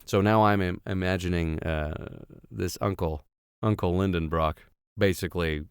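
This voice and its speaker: A man's voice: sits low, fairly even intonation, normal speed, clear, resonant tone, dry recording, studio quality. A man reading a classic novel aloud as host, dropping irreverent, slangy asides into the formal narration. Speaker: host and reader. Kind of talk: audiobook reading with joking improvised asides.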